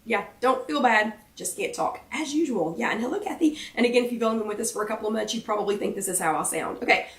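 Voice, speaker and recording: An American woman with a hoarse, failing voice, chatting casually and apologetically as she hosts a livestream. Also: on the high side, lively intonation, fast, hardly any pauses. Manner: chatty, apologetic, self-deprecating. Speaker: host of a live weight-loss chat. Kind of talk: casual livestream chat greeting viewers. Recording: roomy echo, distant microphone.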